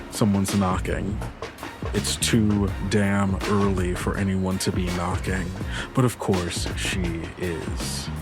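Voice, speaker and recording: A man with a lowish voice narrates as game master. His storytelling is descriptive and dramatic, with music clearly playing underneath. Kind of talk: narrating an actual-play tabletop story.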